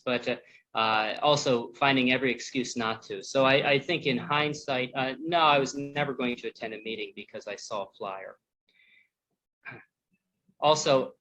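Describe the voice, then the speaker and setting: A man from the United States presents a personal testimony at an online church forum, talking plainly with frequent restarts and pauses. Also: mid-range voice, a bit bright, rough recording. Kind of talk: personal testimony talk with slides.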